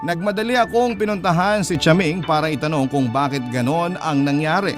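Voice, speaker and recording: A Filipino-accented man tells a dramatic first-person story in radio storyteller style. His voice is fairly high, and music plays clearly behind him. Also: a little fast. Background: music bed.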